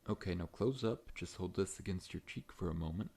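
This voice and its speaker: Man voicing a very deep, gentle monster dentist. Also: a little fast, creaky, husky, heavily acted. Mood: friendly, reassuring. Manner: gentle, reassuring, professional bedside talk. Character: large, friendly, scorpion-like monster dentist with many legs. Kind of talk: ASMR roleplay.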